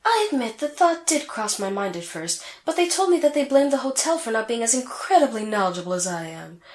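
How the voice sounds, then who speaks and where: Haughty, high-voiced anime sorceress, echoey. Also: female voice, big pitch swings, brisk. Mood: boastful, haughty. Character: vain, haughty young female sorceress rival from an anime. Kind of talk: comedic anime fan audio drama acting.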